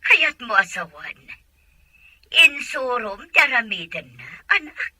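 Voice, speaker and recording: A woman's voice: on the high side, big pitch swings, slow pace, bright, forceful tone, no echo, full character voice. A woman playing a fretful, confiding mother in a radio serial. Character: mother fretting over her upset daughter. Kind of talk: Philippine radio serial acting.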